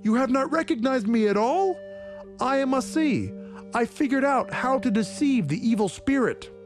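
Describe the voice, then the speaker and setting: A man's voice: very high voice, lively intonation, normal speed, faint music behind, voice pushed up. A man voicing a clever, brave young woman disguised as a warrior, speaking boldly. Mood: bold, triumphant.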